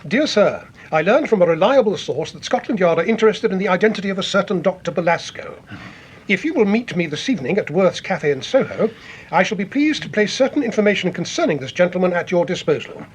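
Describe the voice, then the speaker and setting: High-voiced, animated British police chief. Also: male voice, a little hiss or hum. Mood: measured. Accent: British English. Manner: brisk, formal. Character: senior Scotland Yard chief, brisk and authoritative. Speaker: actor in radio drama. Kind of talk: British radio serial acting.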